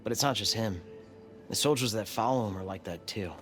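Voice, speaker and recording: A man's voice: on the low side, brisk, fairly bright tone, soft background music, lightly acted. A man playing an anxious, regretful follower of a cult in a video game, hesitant and subdued. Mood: wary. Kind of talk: naturalistic video game cutscene acting.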